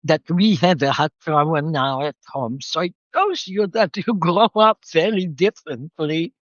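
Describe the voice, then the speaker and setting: A woman's voice: sits low, unhurried, no echo. An older woman from Israel, reflectively telling the story of her life as an interview guest, with a slightly muffled sound.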